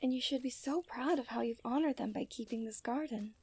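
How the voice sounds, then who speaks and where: Woman acting a mellow, genteel fairytale princess. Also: fairly high pitch, a little fast, no room sound. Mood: tender, soft. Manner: formal, genteel fairytale phrasing with breathy sighs. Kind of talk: scripted romantic ASMR roleplay.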